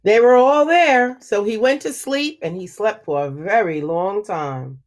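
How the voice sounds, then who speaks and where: A woman reading a picture book aloud to children, slow and expressive, pausing to ask them questions. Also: mid-range voice. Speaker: children's story reader. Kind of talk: read-aloud storytelling for children.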